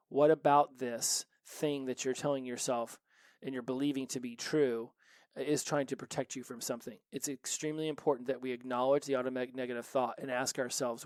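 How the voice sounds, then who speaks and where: A man's voice: medium pitch, no echo. A man in his forties hosting a recovery podcast, giving an earnest solo motivational talk full of rhetorical questions. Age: 40s.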